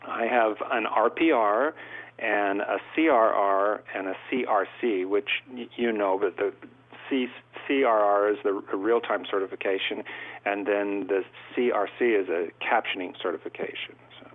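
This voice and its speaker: Low-voiced man on a tinny line. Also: moderate pace. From California. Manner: thoughtful, long storytelling answers. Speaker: guest court reporter. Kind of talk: conversational interview.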